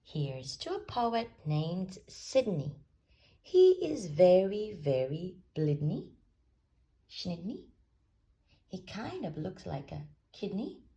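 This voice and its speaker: A woman's voice: lowish voice, very animated, takes it slowly, dark tone, pitched above the performer's own voice, full character voice. A woman voicing a cheerful, forgetful young male pig poet from a children's storybook, earnest and exclamatory, sing-song when reciting. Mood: proud reciting. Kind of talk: children's storybook character reading.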